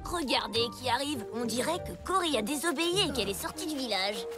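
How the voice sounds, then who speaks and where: A woman voicing a bossy, sly young bully kid in a French animated series, taunting and smug, with music behind. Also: high voice, brisk.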